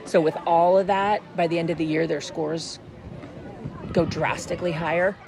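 A woman's voice: lowish voice, steady tone, moderate pace. A woman schoolteacher talking casually in an interview. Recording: busy urban crowd noise behind.

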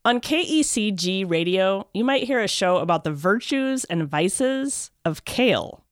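A woman's voice: medium pitch, animated, unhurried, no room sound. A woman reporter reading scripted narration for a radio feature. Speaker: education reporter and podcast host.

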